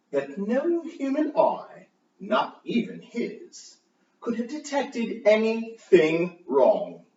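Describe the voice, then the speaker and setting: A man playing a gleeful, cunning, frantic mad murderer in a theatrical gothic horror reading, with halting phrasing that swings from hushed to loud. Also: on the high side, full character voice. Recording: distant mic, roomy echo, muffled.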